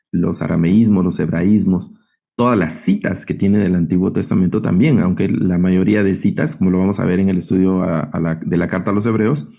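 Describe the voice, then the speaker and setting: A man's voice: low voice, vocal fry, mellow. A man with a Guatemalan accent teaching an online Bible class as a professor, explaining didactically and often checking in with short tag questions.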